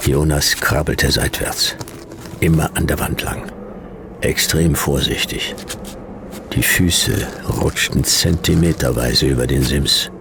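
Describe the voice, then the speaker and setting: A man playing a world-weary, sardonic private detective in a radio play, telling his story in terse, deadpan, clipped phrases. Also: very deep voice, slow pace, creaky, background noise, full character voice. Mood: deadpan, strained. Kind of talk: hard-boiled radio play narration and dialogue.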